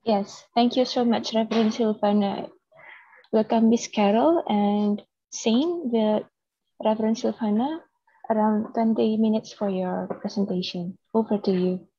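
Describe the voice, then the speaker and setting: A woman moderator passes the floor to the next speakers with short, polite remarks. Her voice is husky and dark, and it comes through muffled and dry over the call. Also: fairly high pitch, fairly even intonation, unhurried, no echo. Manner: brief, polite handovers. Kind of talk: moderating an online session. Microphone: video call. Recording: dull dry video-call audio.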